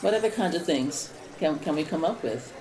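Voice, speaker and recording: Woman leading an informal group talk, slightly low register, background voices plainly audible. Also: animated, fairly quick, a crowd in the background, poor audio. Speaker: discussion moderator. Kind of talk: casual group chat.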